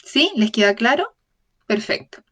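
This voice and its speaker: A woman voicing a lecture for an interpreter, speaking in a measured way, often correcting herself mid-phrase and checking in with the audience. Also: fairly high pitch, sing-song, fairly bright tone. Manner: measured, with frequent self-corrections and tag questions to the audience. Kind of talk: voiced-over lecture in an open talk series.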